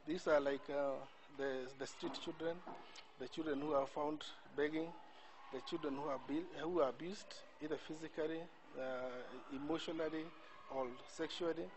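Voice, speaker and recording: Middle-aged official speaking outdoors with noise. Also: male voice, on the high side, steady tone, moderate pace. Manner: formal, listing with fillers. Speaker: institution official. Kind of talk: formal interview answers. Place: outdoors by a building.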